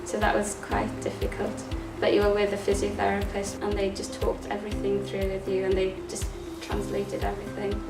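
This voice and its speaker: Flat-voiced young woman, roomy. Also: medium pitch, brisk, creaky, hardly any pauses. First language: Welsh. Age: young adult. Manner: plain, even telling with fillers. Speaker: physiotherapy student. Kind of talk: casual talk to camera. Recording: roomy, distant mic, music behind.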